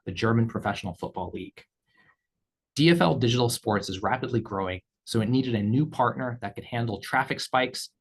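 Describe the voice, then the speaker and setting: A man speaking as a company executive, reading scripted earnings call remarks in a measured, formal way. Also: medium pitch, breathy edge. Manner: measured, formal read delivery.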